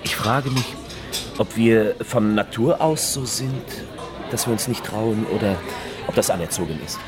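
A man's voice: on the low side, unhurried, over music, crowd noise, natural voice. An actor playing an anxious, reluctant man drawn into a murder plan, hesitant and stammering, in a radio crime drama. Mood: evasive, uneasy.

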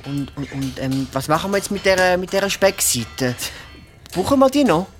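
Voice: medium pitch, sing-song, moderate pace, faint music behind, lightly acted. An actor with a Swiss accent playing an eager, curious young peasant boy who speaks hesitantly in a children's radio play.

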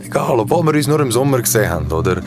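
A man's voice: mid-range voice, very animated, very fast, loud music behind the voice. An actor playing a troubled man with a Swiss German accent, telling friends about his past in a hesitant, colloquial way in an audio drama. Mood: earnest storytelling. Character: Besim, troubled man recounting childhood summers to friends. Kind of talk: audio drama dialogue, campfire storytelling.

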